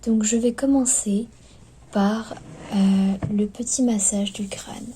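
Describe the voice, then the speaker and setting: A woman speaks softly in an ASMR roleplay as clinic staff, often slipping into a whisper, with gentle, hesitant, attentive patter. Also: medium pitch, steady tone, slow. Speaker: ASMR performer playing skeleton clinic staff. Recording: lo-fi recording with background noise.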